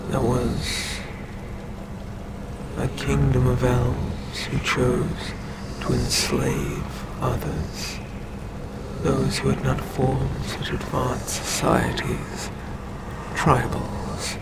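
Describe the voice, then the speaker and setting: An ancient eldritch god, acted by a man, tells his tale in a creaky, breathy murmur that often sinks to a whisper. He speaks extremely slowly and deliberately, sinister and domineering, in a close-miked ASMR roleplay. A loud rumble of noise runs behind the voice. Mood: ominous, patient. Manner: slow, deliberate, menacing, long pauses. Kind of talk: ASMR roleplay, scripted storytelling.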